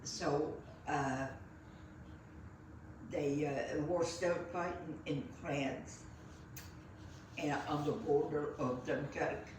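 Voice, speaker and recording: A very old woman from Belgium speaks in English, taking her time and pausing often between thoughts. Her voice sits back from the mic in a strongly echoing room. Faint background noise runs under her words. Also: deep voice, fairly even intonation. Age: 90s. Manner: unhurried, halting, many fillers and restarts. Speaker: interviewee. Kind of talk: reminiscing storytelling. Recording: echoey room, voice set far from the mic.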